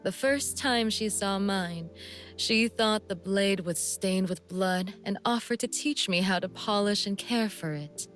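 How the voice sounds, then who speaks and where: Slow, seductive assassin woman, acted out by a woman. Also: mid-range voice, a bit bright, soft background music, close to an everyday voice. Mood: cool, reflective. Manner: languid, teasing, deliberate, sometimes breathy near-whisper. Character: seductive, sadistic female assassin, coolly amused and menacing. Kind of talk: video game character voice lines.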